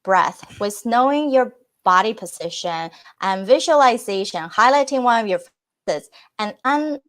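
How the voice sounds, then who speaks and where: Earnest higher-voiced woman guest. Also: lively intonation, measured pace. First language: Chinese. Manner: earnest, with restarts and fillers. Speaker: podcast guest. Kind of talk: interview answers.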